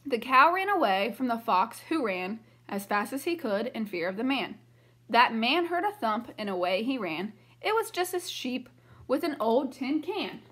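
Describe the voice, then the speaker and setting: A woman's voice: on the high side, sing-song, normal speed, a bit bright, slightly rough, heavily acted. Woman doing an earnest little boy, sing-song. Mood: explaining. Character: little boy calling out for help.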